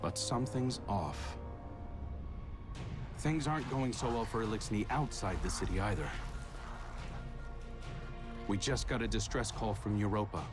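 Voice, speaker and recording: An actor playing an earnest young warrior leader, narrating a game cutscene in a quiet, measured way. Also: male voice, lowish voice, close to an everyday voice. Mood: reflective. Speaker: new Hunter Vanguard. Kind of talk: cutscene narration over music. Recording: game cutscene with loud music.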